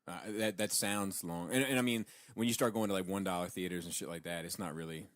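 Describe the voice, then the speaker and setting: A man's voice: low voice, steady tone, fairly quick, a bit bright, no echo, clean studio sound. A man co-hosting a geek-culture podcast, chatting informally and swearing freely. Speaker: podcast co-host.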